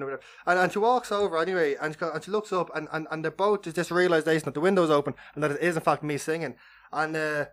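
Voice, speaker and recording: A young Irish man co-hosting a podcast, a loud and animated storyteller who often repeats his words in casual chat. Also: on the high side. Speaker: podcast co-host.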